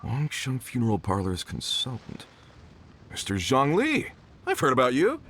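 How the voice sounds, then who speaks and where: A man's voice: medium pitch, huge pitch swings, moderate pace, fairly bright tone, slight background noise. A man voicing an older mining foreman, boastful yet respectful and enthusiastic, in a video game. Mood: delighted, impressed. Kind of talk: video game dialogue acting.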